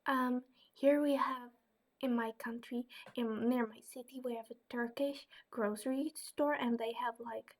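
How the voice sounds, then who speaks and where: A woman's voice: on the high side, fairly even intonation, measured pace. A young woman from the Netherlands with a Dutch accent, answering viewer questions in a casual vlog, hesitant and full of fillers. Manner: hesitant, many fillers and soft asides.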